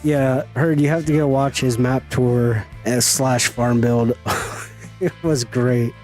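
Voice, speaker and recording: Slow-talking folksy man streaming a game. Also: mid-range voice, fairly even intonation, darkish tone, faint music behind. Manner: folksy, teasing, chatty with viewers. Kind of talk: casual live-stream chat while gaming.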